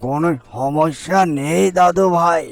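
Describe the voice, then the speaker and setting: A jovial old grandfather from a cartoon, acted by a man. He speaks slowly and reassuringly in a gravelly, fairly high male voice, close and dry. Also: no room sound. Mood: concerned. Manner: coaxing, reassuring. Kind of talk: cartoon character acting.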